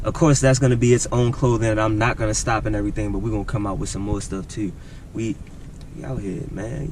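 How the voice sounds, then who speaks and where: A man with a Baltimore accent, talking casually and full of slang as he walks the city for a vlog. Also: medium pitch. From East Baltimore. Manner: laid-back slangy chatter. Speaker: vlogger. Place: city street outdoors. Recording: loud street noise.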